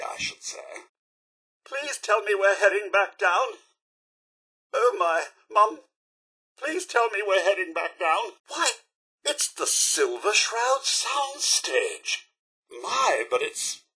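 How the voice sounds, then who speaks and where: An actor playing a fussy, loyal, polite robot butler in a video game, with a British accent and a prim, formal, theatrical manner. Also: male voice, high voice, very animated, slow, pauses often, bright, forceful tone, slightly rough, heavily acted. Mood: fretful, wry. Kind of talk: video game character voice lines.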